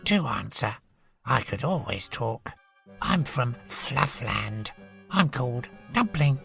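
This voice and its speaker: A small, gruff storybook creature, as acted out by a narrator, with a heavily gravelled croak. It talks slowly and plainly in a lively up-and-down tune, with music underneath. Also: mid-range voice, full character voice. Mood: calm, matter-of-fact. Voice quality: gruff, creaky. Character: gruff little woolly toy creature from a magic land.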